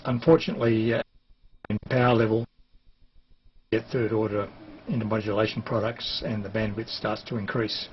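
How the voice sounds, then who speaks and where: A man's voice: on the low side, level tone, normal speed, pauses often. An Australian man giving an unscripted technical demonstration, explaining things in a hesitant, matter-of-fact way. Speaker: amateur television operator and presenter. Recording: dull lo-fi sound with clear hiss.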